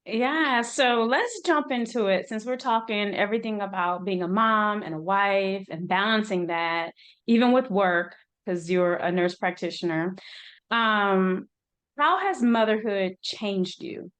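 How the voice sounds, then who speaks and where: A woman's voice: mid-range voice, measured pace, fairly bright tone. A woman hosting a podcast, speaking in a casual, conversational interview style.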